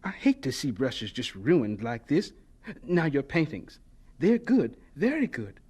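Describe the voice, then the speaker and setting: A man's voice: mid-range voice, huge pitch swings, measured pace, darkish tone, slightly rough, heavily acted. An actor playing an earnest, polite young male cook in a radio drama, deferential yet frank and given to exclamation. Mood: eager, frank.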